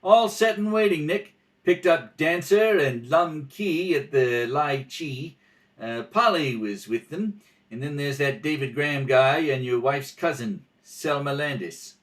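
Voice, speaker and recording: A man acting a gruff, brisk police lieutenant in an old radio mystery. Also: on the high side, expressive. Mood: brisk, eager. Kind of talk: 1940s radio mystery acting, rehearsal read-through.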